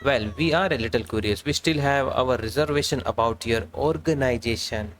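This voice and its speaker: A man's voice: medium pitch, moderate pace, slight background noise. A man live-streaming a game, commenting casually and reading dialogue aloud as he plays. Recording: game music behind the voice. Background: game music.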